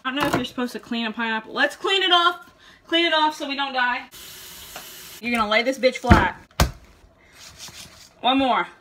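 A woman's voice: high-pitched, moderate pace, long pauses, heavy background noise. A young woman in her home kitchen giving a mock cooking tutorial to a camera in front of her, swearing constantly in a brash, joking way. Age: young adult. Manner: brash, profane, mock-instructional. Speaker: vlogger. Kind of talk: comedic cooking vlog. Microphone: camera in front at table height. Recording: music playing loudly behind.

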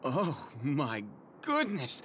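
Game role: washed-up guard, middle-aged, slow, highly animated, theatrical. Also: male voice, slight background noise, exaggerated voice. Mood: giddy, euphoric. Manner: melodramatic, drawn-out delivery. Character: washed-up male security guard, moods swinging from glum to manic. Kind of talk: theatrical video game character acting. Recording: game music under the voice.

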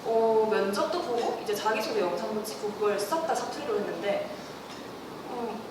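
A woman's voice: mid-range voice, moderate pace. A young woman with a Busan accent, answering questions in a playful, laughing manner as a student ambassador. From Busan, South Korea. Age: young adult. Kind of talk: casual panel Q&A. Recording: echoey room, distant mic, noisy.

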